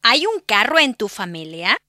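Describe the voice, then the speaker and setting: Man as an eager fourteen-year-old girl, high falsetto, curious. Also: big pitch swings, normal speed, bright, forceful tone, no echo, studio quality, in a large hall, exaggerated voice.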